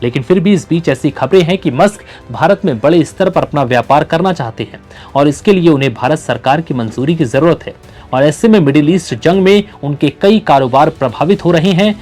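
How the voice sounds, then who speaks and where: A man's voice: fairly high pitch, a little fast, a little mellow. A man reading scripted news narration as a presenter. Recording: music bed under the voice. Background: background music.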